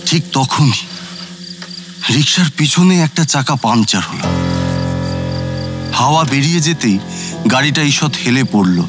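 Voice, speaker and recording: A man's voice: mid-range voice, lively intonation, measured pace, pauses often, music in the background, close to an everyday voice. A male actor playing a former judicial officer who narrates his night journey as a scripted, literary first-person audio story. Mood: reflective.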